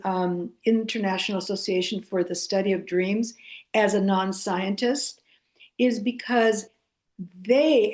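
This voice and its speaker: A woman whose first language is Greek, a guest author speaking thoughtfully, with pauses and fillers. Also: medium pitch, a little mellow. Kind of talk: casual conversational interview.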